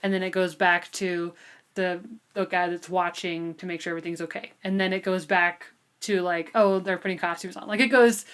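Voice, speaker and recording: A woman's voice: on the low side, fairly even intonation, normal speed, smooth. A woman co-hosting a podcast book discussion in a casual, informal way, thinking aloud as she talks. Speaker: podcast co-host.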